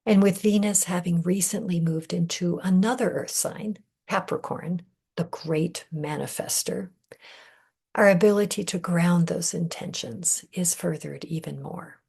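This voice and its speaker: A woman leading a guided meditation in a gentle, measured way, breathy and husky, often trailing off into a whisper with deliberate pauses. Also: lowish voice. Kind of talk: guided meditation, soothing scripted narration.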